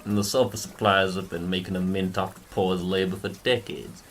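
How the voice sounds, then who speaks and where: Man as a low-voiced, world-weary private eye. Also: fairly even intonation, moderate pace, a bit bright, slight background noise. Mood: probing. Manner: informal, folksy, hesitant. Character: modest, world-weary male private detective, plain-spoken. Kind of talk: noir radio drama acting.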